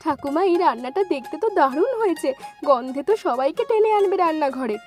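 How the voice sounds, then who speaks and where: A woman's voice: high-pitched, expressive, fairly quick, darkish tone, soft background music. A woman voicing an eager, grateful young granddaughter in a cartoon story. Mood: delighted. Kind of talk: cartoon story dialogue in Bengali.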